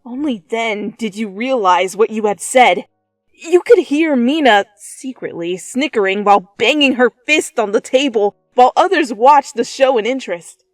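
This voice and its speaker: Female storyteller for fan fiction; lively, expressive pitch, close dry sound with a music bed. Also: normal speed, no echo. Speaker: fan fiction reader and narrator. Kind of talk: second-person dramatic story narration.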